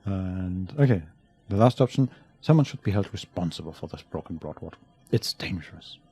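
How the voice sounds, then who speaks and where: A man with a husky voice, co-hosting a game playthrough in a laid-back way, chatting and reading options aloud. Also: low voice, animated, moderate pace, mellow. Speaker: let's play co-host.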